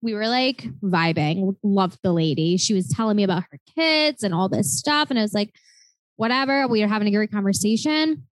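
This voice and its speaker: A woman's voice: mid-range voice, fairly quick, no echo. Chatty young woman, lots of "like". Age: 20s. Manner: chatty, lots of "like" fillers, animated storytelling. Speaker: podcast co-host. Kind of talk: casual chat.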